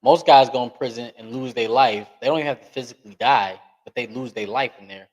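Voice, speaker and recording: A man giving an interview on a podcast, talking earnestly and emphatically, speeding up and slowing down to stress points. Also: medium pitch, rough recording. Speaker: podcast guest. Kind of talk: candid interview talk.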